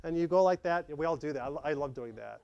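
A man's voice: fairly high pitch, fast, creaky, lo-fi sound. A middle-aged man giving a conversational tech talk at a meetup, acting out small scenes for his audience. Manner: lively, conversational, acts out examples. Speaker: tech presenter. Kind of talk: meetup slide presentation. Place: dark meeting room with projector.

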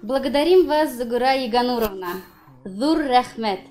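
A woman's voice: fairly high pitch, lively intonation, moderate pace, a little mellow, faint music behind. A young woman announcing speakers at a formal event in a formal manner. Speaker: event presenter. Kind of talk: formal scripted presenting. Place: indoors in front of a logo backdrop.

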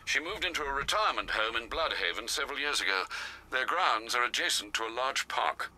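Older English butler for a game: very sharp, forceful tone, lively intonation, courteous and dry. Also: male voice, medium pitch, poor audio. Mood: dryly concerned. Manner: formal, measured, dryly polite. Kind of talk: scripted video game dialogue.

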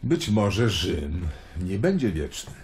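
A man's voice: low voice, very animated, slow, a little mellow, exaggerated voice. An actor playing a world-weary, searching Roman nobleman in a radio play. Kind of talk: dramatic radio play acting.

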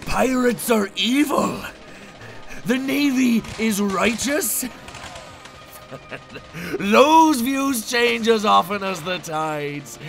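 Man as a grandiose, high-pitched pirate villain. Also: lively intonation, drawn-out words, creaky, pauses often, fairly bright tone, faint music behind, heavily acted. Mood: grandiose, scornful. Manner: grandiose, declaiming. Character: fierce cartoon pirate villain, grandiose and cynical.

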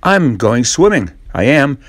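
A man voicing an eager, boastful boy in a storybook reading, speaking out in a loud, sing-song manner. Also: medium pitch, big pitch swings, a little slow, no echo. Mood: teasing.

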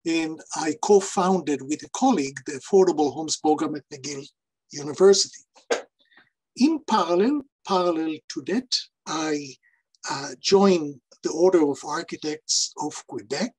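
An older man with an Israeli accent, a guest speaker on a video call, telling his career in halting English and searching for words. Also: on the high side, a little mellow, slightly rough, rough recording. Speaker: guest speaker, architecture professor. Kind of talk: personal talk on a panel. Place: home office with shelves. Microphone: video call webcam.

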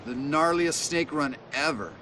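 A man's voice: a little high, measured pace, sharp tone, slight background noise. A man playing a laid-back, nostalgic veteran skateboarder in a video game, casual and slangy. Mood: reassuring. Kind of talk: video game cutscene acting.

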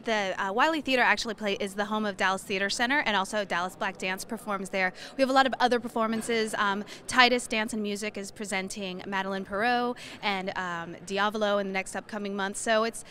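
A woman's voice: medium pitch, a little fast, few pauses, pushed and bright, slight background noise. Enthusiastic woman promoting a venue. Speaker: arts center staff tour guide. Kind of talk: promotional guided tour talk. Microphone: handheld mic.

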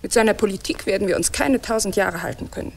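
Radio play: intellectual goddess, medium-pitched, playful, vain, teasing and colloquial. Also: female voice, fast talker, vocal fry, breathy edge. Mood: teasing, curious. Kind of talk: ironic radio play dialogue.

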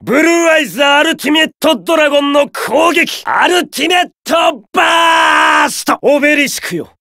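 An actor playing an arrogant, domineering young card duelist in an anime video game, declaiming imperious battle commands theatrically. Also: male voice, extremely high pitch, expressive, moderate pace, vocal fry, pushed and bright, no echo, raised voice, clean studio sound, heavily acted. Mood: aggressive, commanding.

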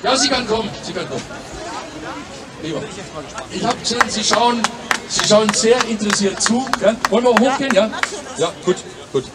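A man in his fifties with a fairly high voice speaks forcefully at an outdoor street rally, often tagging a quick "ja?" to his points. His handheld-mic voice carries from a distance, with a crowd clearly audible around him. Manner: forceful, repeating "ja?", inviting and prompting. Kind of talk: political street rally speech. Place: outdoor city square rally. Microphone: handheld microphone.

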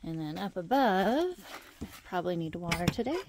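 A woman's voice: lowish voice, a little fast, noisy background. A woman in her thirties, a homesteader, chatting casually as she walks through her fenced garden outdoors and talks into a handheld camera. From Idaho, USA. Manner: relaxed, chatty, trailing off between points. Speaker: homesteader giving a garden tour. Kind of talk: casual walking garden tour.

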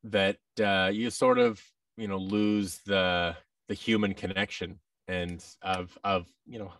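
An American man speaks in a fairly low voice as a podcast guest. He talks casually in halting runs, slipping into quoted dialogue. The sound is dry, with him a little back from the microphone. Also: flat delivery, fairly bright tone, dry recording, far from the mic. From United States. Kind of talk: casual interview chat. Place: large indoor room with tables, on a video call. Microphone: boom-arm microphone with headset.

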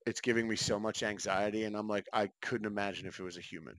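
A man's voice: fairly low pitch, fairly even intonation, fast, fairly bright tone, no room sound. A young man co-hosting a podcast, chatting casually and swearing freely on a headset video call from a room at home. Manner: laid-back, swears often. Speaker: podcast co-host.

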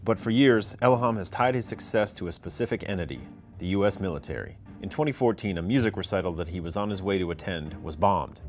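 A man narrates a news feature from a script, crisp and emphatic. His voice is low and he reads at a quick clip over a faint music bed. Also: lively intonation.

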